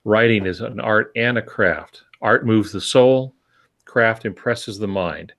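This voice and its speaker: An older man who co-hosts a podcast, giving reflective writing advice in a measured, deliberate, emphatic way. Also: lowish voice, vocal fry, a bit bright. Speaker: podcast co-host and veteran writer.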